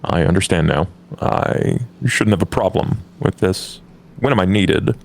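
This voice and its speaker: A man playing a reserved gentleman vampire in a tabletop game, with measured formal speech and a gravelly voice. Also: low-pitched, slight background noise. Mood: reserved, polite.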